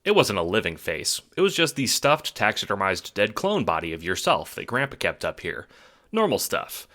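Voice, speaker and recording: A man's voice: on the low side, huge pitch swings, moderate pace, bright, forceful tone. A man narrating an audio drama in the second person with a wry, theatrical, sardonic manner.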